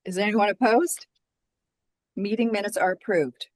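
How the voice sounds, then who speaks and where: A woman chairing a formal board meeting over a video call, speaking in a measured, procedural way. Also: mid-range voice, fairly even intonation, a little mellow, dry recording.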